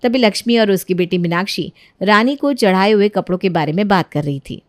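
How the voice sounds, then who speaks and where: A woman's voice: mid-range voice, brisk, no room sound, studio quality. A woman giving scripted narration for a family moral story.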